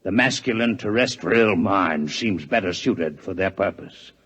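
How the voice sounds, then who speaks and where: Husky, grave alien doctor. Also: male voice, low voice, lively intonation, unhurried, fairly bright tone, exaggerated voice. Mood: grave, explanatory. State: weakened, ill. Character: male alien doctor, grave, ailing, coolly explanatory. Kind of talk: 1950s radio drama acting. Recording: old 1950s radio recording.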